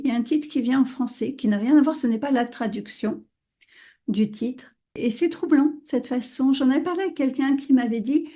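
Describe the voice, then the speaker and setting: Woman podcast host, native French speaker, dark mellow tone, musing hesitant delivery. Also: a little high. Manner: warm, thoughtful, hesitant with frequent fillers. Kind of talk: casual conversational interview.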